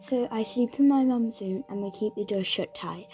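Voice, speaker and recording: A woman voicing a young girl who earnestly tells a gentle storybook tale about her home. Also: medium pitch, normal speed, natural voice. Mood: wistful. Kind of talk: scripted storybook narration. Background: music.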